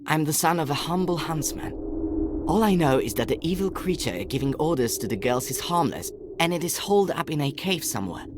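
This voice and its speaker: A man's voice: a little high, animated, fairly quick, noisy background, natural voice. A man voicing a brave, humble huntsman's boy in a fairy-tale game, earnest and plain-spoken. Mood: resolute. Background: music.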